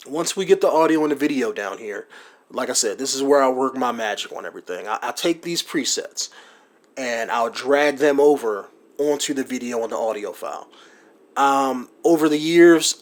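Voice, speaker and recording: A man explains his video-editing workflow in a loose, conversational tutorial. His pitch is ordinary for a man. He rambles and fills pauses with ums and you-knows, as if talking over a screen recording. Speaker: gaming YouTuber explaining his editing setup. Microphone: USB desktop mic.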